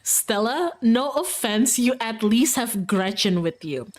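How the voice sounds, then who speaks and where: A woman streamer giving playful game commentary on a visual novel, excitable and often repeating words as she goes. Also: on the high side, slow.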